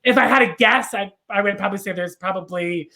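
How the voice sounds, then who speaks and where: Rambling New York man, gravelly voice. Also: sits high, fast talker, pushed and bright. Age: 30s. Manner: rambling, many ums and restarts. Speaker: real estate broker. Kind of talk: casual interview answers.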